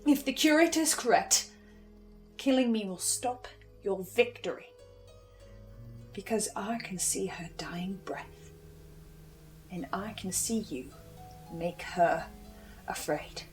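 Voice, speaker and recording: A woman playing a composed, eerie researcher in a horror audio drama, speaking in a deliberate theatrical way. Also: medium pitch, animated, darkish tone, faint music behind, low-quality recording. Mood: hushed, ominous. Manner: slow, deliberate, ominous, suave.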